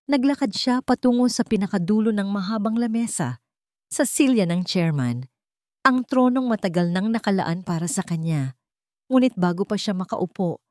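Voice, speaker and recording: A woman narrating a dramatic story in a steady reading voice. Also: on the low side, no room sound, studio quality.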